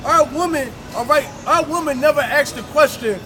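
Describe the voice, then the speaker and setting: A man street preaching in a forceful, confrontational way, ending phrases with "man", outdoors on a city street. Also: very high voice, moderate pace. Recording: street crowd noise.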